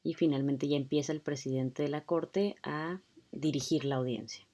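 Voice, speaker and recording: A woman's voice: low-pitched, moderate pace, mellow. A woman lecturer explaining a court hearing video to her students in a conversational way, with frequent fillers. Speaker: law lecturer.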